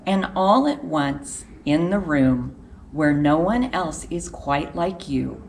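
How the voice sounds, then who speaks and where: A woman reading a children's picture book aloud in a measured, expressive storytelling manner with deliberate pauses. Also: low-pitched, vocal fry, slight background noise.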